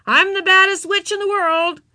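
A woman plays a rowdy little girl dressed as a witch in a storybook. She yells in a high, bright voice, full of gleeful menace. The sound is dry and close. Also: steady tone, moderate pace, dry recording, heavily acted. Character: boisterous little girl playing a masked witch.

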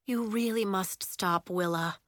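A woman narrator puts on a schoolteacher, a firm, capable woman from a children's story. The voice is bright and fairly high, commanding yet encouraging. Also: lively intonation, moderate pace, dry recording, studio quality. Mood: kind, firm. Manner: instructing, encouraging.

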